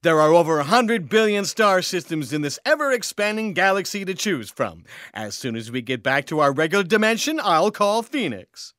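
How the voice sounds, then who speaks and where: A man's voice: high-pitched, very animated, normal speed, fairly bright tone, no echo, studio quality. A man playing a gleeful, scatterbrained little male alien dance champion in a sci-fi audio comedy, easily distracted and prone to giggles. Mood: cheerful boasting.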